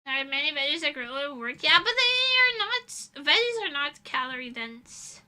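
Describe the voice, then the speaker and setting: A woman's voice: sits high, animated, normal speed, pushed and bright. A young woman livestreamer chatting casually in an informal, self-deprecating, rambling way.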